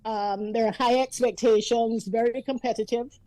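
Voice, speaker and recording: A woman in her fifties reminisces in a chatty, storytelling way, laughing easily as she talks. Her voice sits a little high yet sounds mellow and dark in tone. The sound is close and dry, with no room around it. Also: steady tone, normal speed, no room sound, rough recording. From Antigua. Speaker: guest. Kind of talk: casual reminiscing conversation.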